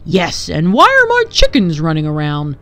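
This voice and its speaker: A woman voicing a fussy, indignant male village mayor in a children's story, with blustering, drawn-out delivery. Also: fairly high pitch, wildly swooping pitch, soft background music, voice pushed up, full character voice. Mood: indignant, puzzled.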